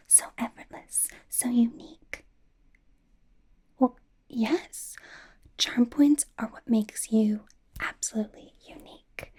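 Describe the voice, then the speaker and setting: A woman playing a bubbly young female pop idol acting as a personal stylist in a softly whispered, informal, rambling ASMR roleplay. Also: on the high side, full character voice. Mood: eager explaining. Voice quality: soft, breathy whisper. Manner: hesitant, rambling, informal, earnest.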